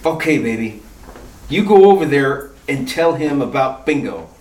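A woman's voice: rumbling low, sing-song, moderate pace, darkish tone, distant mic. A woman voicing a breezy, wisecracking male detective in an amateur retro radio drama. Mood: brisk. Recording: echoey room, off mic, faint noise.

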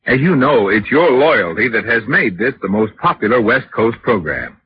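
Male announcer, old-time radio, very lively intonation, muffled recording. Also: medium pitch, moderate pace. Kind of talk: 1940s radio sponsor announcement. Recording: muffled, noisy vintage radio broadcast.

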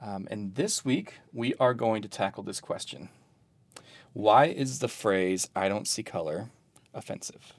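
Male podcast host, low voice, dry close mic, measured and a little hesitant. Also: animated, no room sound. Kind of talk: hosting a discussion.

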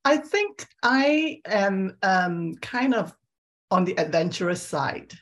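A middle-aged woman from Singapore, answering as a founder on a panel in a measured, hesitant, conversational way. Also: mid-range voice, big pitch swings.

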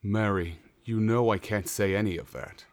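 An actor playing a steady, wry, protective husband in a colonial period audio drama, with measured old-fashioned phrasing. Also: male voice, fairly low pitch, close to an everyday voice.

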